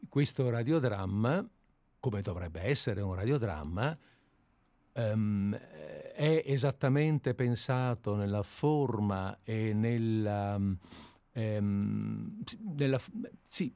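A man's voice: medium pitch, warm tone, no room sound. A man discussing literature informally as a host, hesitant and often repeating himself as he searches for words. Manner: hesitant, halting, searching for words with frequent repetitions.

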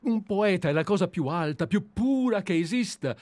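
A man's voice: high-pitched, lively intonation, normal speed, a little mellow, no room sound. A man playing an incredulous provincial visitor. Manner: earnest, flustered, pleading. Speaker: character in a radio play reading. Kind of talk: radio drama reading.

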